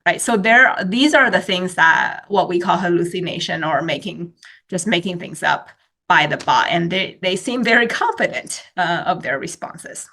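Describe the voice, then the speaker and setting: A woman's voice: fairly low pitch, animated, moderate pace, bright, forceful tone. A woman whose first language is Chinese, explaining research in a webinar presentation with many fillers and restarts, speaking over a video call.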